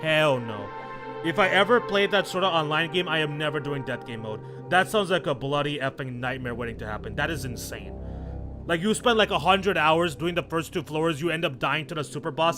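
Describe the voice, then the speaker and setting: A man's voice: on the high side, brisk, over music. A man doing casual livestream commentary on a game, speaking in slang, stammering and often repeating himself. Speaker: game streamer.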